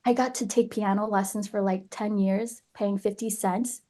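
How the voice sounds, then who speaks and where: A young woman chats easily as an interview guest, often laughing and starting her sentences over. Her voice sits at a middle pitch for a woman. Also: fairly even intonation, normal speed, dark tone. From born in Vietnam, grew up in Nashville, USA. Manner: chatty, laughs often, restarts phrases. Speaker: guest actress. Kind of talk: casual interview chat.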